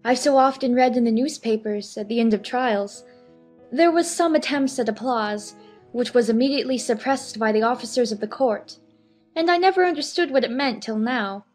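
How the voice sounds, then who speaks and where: A man's voice: very high-pitched, moderate pace, soft background music, voice pushed up. A man voicing a curious, well-mannered young girl thinking aloud. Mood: earnest. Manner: prim, earnest musing.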